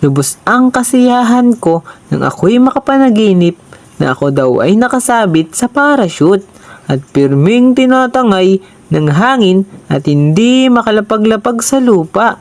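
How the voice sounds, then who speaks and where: A woman narrating a children's story aloud in a plain, even way. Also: medium pitch, measured pace.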